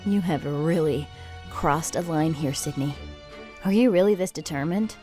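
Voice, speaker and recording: A woman playing an indignant, sarcastic wife in a dramatized first-person story, with an expressive, exasperated delivery. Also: deep voice, normal speed, faint music behind, lightly acted. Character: indignant, sarcastic wife at the end of her patience.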